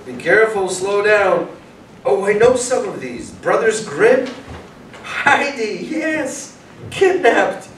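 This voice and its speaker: A man's voice: sits high, very animated, slow pace, a bit bright, exaggerated voice. Man acting an earnest young detective, distant and roomy. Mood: excited. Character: young male detective, earnest, doubting, immigrant family. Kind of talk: staged play reading, theatrical acting. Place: small indoor performance room with audience. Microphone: far camera. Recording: roomy, distant mic, faint noise.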